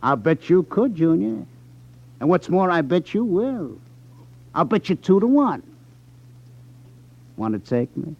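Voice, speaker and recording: An actor playing a tough, sly gambling club boss in a 1950s radio crime drama, with a taunting, menacing manner. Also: male voice, sits high, sing-song, a little slow, creaky, long pauses, a little mellow, exaggerated voice. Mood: coolly persuasive. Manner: sneering, taunting, hesitates slyly for effect. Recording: dull lo-fi 1950s radio recording.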